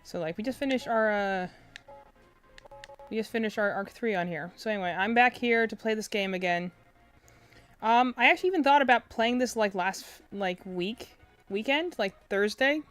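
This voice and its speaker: Soft-spoken young woman rambling casually. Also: medium pitch, lively intonation, moderate pace, clear, resonant tone, dry recording, soft background music. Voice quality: soft, quiet voice. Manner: rambling, filler-heavy, self-interrupting. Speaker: game streamer. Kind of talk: casual live-stream chat. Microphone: desk mic close to face.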